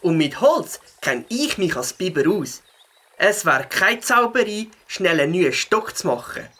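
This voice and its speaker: A husky, fairly low voice of a woman as a young beaver in a kids' audio play, with a Swiss German accent. The intonation swings freely, and the beaver sounds practical and sure of itself. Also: sing-song, unhurried, fairly bright tone, heavily acted. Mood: proud, confident. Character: young beaver, helpful, confident, practical bike rider. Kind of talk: children's audio play acting.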